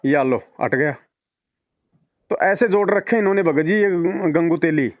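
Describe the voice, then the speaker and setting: Argumentative higher-voiced man. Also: lively intonation, measured pace, no echo. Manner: argumentative, rhetorical questions, repetitive emphasis. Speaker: religious devotee speaking to a follower. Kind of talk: persuasive phone conversation. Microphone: phone call. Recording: dull lo-fi phone call recording.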